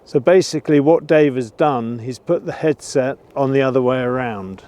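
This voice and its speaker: A man giving an informal gear review, explaining things in a measured, careful way. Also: mid-range voice, darkish tone. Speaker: presenter reviewing and testing gear. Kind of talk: informal product review commentary.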